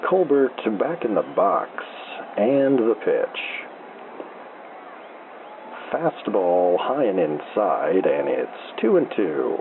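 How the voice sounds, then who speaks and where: A man playing a calm, laconic small-town baseball announcer doing unhurried play-by-play on the radio. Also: on the low side, heavily acted. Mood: calm, drowsy. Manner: calm, laconic, drowsy, unruffled. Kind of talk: slow minor-league baseball radio play-by-play. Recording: dull noisy AM-style baseball radio broadcast.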